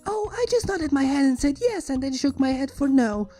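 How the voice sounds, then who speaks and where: A very high, swooping voice for a bubbly young mare acted out by a woman. She is playful and breezy, like a silly cartoon pony, with a soft-edged mellow tone over background music. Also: lively intonation, normal speed. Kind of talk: fan fiction character reading.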